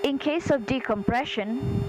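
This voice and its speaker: A woman flight attendant reading scripted airline safety announcements in a formal, measured, instructional way. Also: high-pitched, over music, low-quality recording. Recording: clear engine hum behind cabin announcement. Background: steady aircraft hum.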